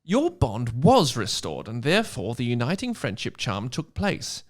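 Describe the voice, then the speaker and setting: A man voicing an earnest, know-it-all schoolgirl witch who explains magic in a deliberate, emphatic way for a comic story reading. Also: mid-range voice, wildly swooping pitch. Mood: earnest, explanatory.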